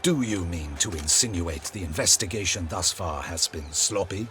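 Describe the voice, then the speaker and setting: An actor playing a proud, distrustful captain of the guard in a video game, formal, stern and curt. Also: male voice, low voice, expressive, normal speed, sharp tone, soft background music. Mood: curt, suspicious. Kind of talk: video game character acting.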